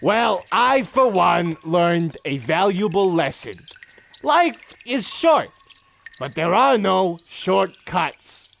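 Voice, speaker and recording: A man playing an excitable, overwrought husband in a theatrical comic audio drama. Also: sits high, slow pace, gravelly, heavily acted. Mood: solemn. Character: excitable, melodramatic husband on a doomed holiday.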